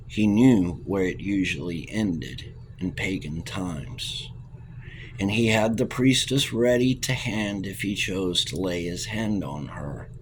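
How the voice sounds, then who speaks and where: A man reading a novel aloud as the narrator, in a measured, even way. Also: sits low, slight background noise, rough recording. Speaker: audiobook reader.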